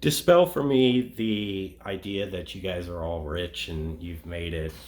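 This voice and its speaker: A man conducting a casual group interview, halting and rephrasing his questions as he goes. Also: low voice.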